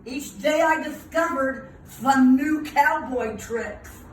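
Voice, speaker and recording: A woman reads a children's story aloud with an emphatic, theatrical delivery. Her voice is fairly high and she takes her time, pausing for her young listeners. The sound is roomy and a little far from the mic, with faint noise. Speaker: preschool owner reading at a children's storytime. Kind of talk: read-aloud picture book storytelling with asides to children.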